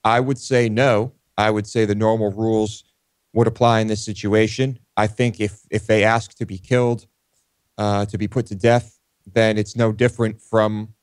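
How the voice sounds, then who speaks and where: A man co-hosting a talk show, giving opinions casually and haltingly as he pieces his thoughts together. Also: fairly low pitch, level tone, no room sound. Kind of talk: casual unscripted discussion.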